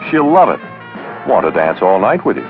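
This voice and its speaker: A low-voiced man narrates a 1960s training film. He instructs in a lively, rising and falling delivery with dry sarcastic asides. The old soundtrack is dull, with music behind him. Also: normal speed. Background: background music.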